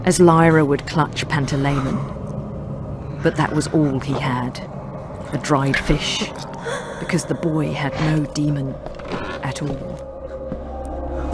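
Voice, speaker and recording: A man narrating a game's story in a grave, dramatic, scripted storybook style with deliberate phrasing. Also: a little high. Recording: loud music behind the voice.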